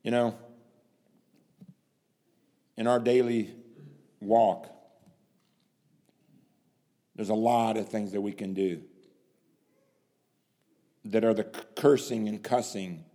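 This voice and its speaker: Slow, dramatic preacher man in a hall. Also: lowish voice, fairly even intonation. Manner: dramatic, swings from hushed to loud emphasis, rhetorical questions. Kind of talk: sermon. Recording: long hall reverb.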